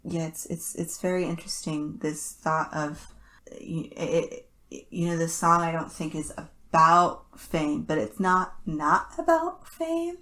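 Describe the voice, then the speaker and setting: Lower-pitched woman co-hosting a podcast. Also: animated, unhurried, pushed and bright. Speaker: podcast host. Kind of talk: casual analytical podcast chat.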